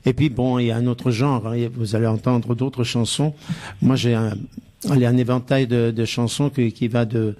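A man from Italy, a singer being interviewed on the radio, speaking haltingly and modestly with many restarts. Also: mid-range voice, fairly even intonation, warm tone.

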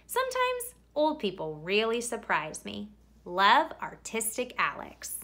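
Very animated woman reading a picture book. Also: a little high. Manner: animated, theatrical emphasis, drawn-out words. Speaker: read-aloud storyteller. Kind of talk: expressive children's picture book read-aloud.

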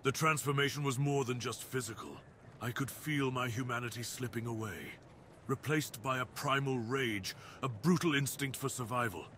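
A man playing a brooding, cursed dire wolf master in an ASMR roleplay, speaking in a solemn, deliberate, theatrical way with formal wording. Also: medium pitch, fairly bright tone, a little hiss or hum, close to an everyday voice. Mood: haunted, grave. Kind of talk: ASMR roleplay, dramatic monologue.

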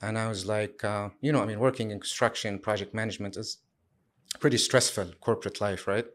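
A man in his thirties from Syria, answering interview questions in a relaxed way with many fillers and restarts. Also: on the low side, a little fast. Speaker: guest, sustainability lead.

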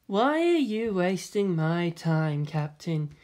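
A woman reading an audiobook, voicing a cool, exacting woman scientist in a flat, measured manner. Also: fairly low pitch. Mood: impatient. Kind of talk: audiobook character voice.

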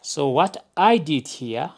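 Slow, low-pitched woman giving instructions. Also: expressive, creaky, darkish tone. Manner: simple step-by-step explaining with frequent checking "okay". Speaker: tutorial presenter. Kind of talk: hands-on instructional narration. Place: indoors, at a wooden work table.

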